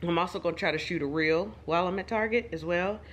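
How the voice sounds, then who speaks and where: A woman's voice: fairly low pitch, fast talker. A woman, chatting casually to her own camera, rambling and joking about her day. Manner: chatty, rambling, self-deprecating asides. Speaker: vlogger. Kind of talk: casual vlog talking to camera. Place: home, then in her car. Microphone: phone or camera, self-filmed.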